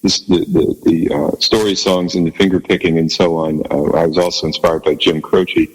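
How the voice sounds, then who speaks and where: Deep bass-voiced man, roomy reverb. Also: fairly even intonation, breathy edge. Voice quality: low bass voice. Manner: halting, warm and modest, many restarts and small laughs. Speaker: guest musician. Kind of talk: casual interview chat.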